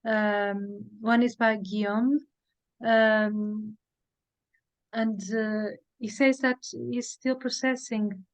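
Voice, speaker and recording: A woman moderating a talk, reading out audience questions slowly with many pauses and fillers. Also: mid-range voice, level tone, no room sound, rough recording. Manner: slow, halting, many fillers. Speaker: session moderator.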